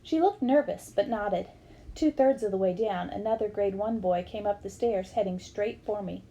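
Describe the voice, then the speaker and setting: A woman's voice: mid-range voice, moderate pace, mellow, poor audio. A woman reading a memoir aloud as scripted storybook narration. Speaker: reader of a book aloud.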